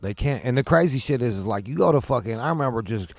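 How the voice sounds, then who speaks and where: A man's voice: mid-range voice, expressive, fast talker, a little mellow, no echo, faint music behind. A man from Michigan hosting a radio show, chatting in loose, profane, laid-back banter. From Pontiac, Michigan. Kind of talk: casual radio interview chat. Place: radio studio.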